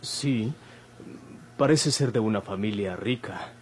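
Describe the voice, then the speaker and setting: A Mexican actor playing an earnest young hospital patient in a classic radio soap, polite, measured and guarded. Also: male voice, lowish voice. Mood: polite, candid. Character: earnest young male patient, a bandit's son. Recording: old noisy radio drama recording, hum.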